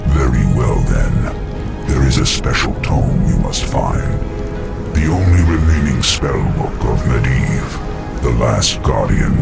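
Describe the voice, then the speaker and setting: An actor playing a huge, imperious ancient demon lord in a video game cutscene, booming and gravelly, speaking in formal commands. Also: male voice, heavily acted. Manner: slow, commanding, archaic formal speech. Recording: loud music under the voice.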